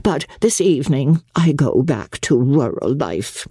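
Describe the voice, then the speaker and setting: Woman playing an affected, gushing society aunt, swooping pitch. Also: big pitch swings, a little slow, dark tone, slightly rough, no echo, studio quality, full character voice. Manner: effusive, grandiose, sighing. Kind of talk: dramatic audiobook character acting.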